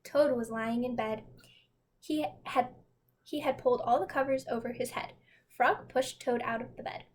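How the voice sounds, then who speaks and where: A woman reads a children's story aloud. Her voice is high, and she delivers the narration as a scripted storybook reading. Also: fairly even intonation, normal speed, slight background noise. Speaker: storybook reader.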